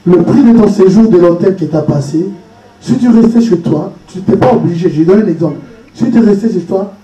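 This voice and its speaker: A man's voice: high-pitched, warm tone, muffled recording. A man speaking at a conference, answering a question earnestly, repeating his points and giving direct examples. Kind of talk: answering questions at a public talk. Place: conference hall. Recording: echoey hall, speaker far from mic.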